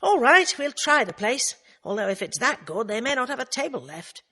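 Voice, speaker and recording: A woman voicing a brisk, prickly middle-aged woman with a curt way of speaking. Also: fairly high pitch, very animated, bright, forceful tone, breathy edge, voice pushed up, full character voice.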